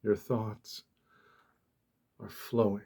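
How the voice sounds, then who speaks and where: A man speaking softly and deliberately, with long pauses, guiding a meditation in a gentle ASMR style. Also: fairly low pitch, level tone, gravelly, slightly rough. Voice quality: soft, hushed. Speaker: ASMR host, meditation guide. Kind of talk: soft-spoken ASMR guided meditation.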